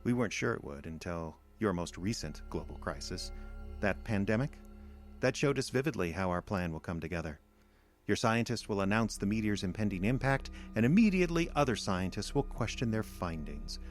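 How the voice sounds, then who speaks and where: An actor playing a cold, patient, condescending alien invader in an audio drama, lecturing in a measured, smug way with contempt for humanity. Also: male voice, fairly low pitch, lively intonation, soft background music, close to an everyday voice. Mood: smug, gloating. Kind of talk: audio drama villain monologue.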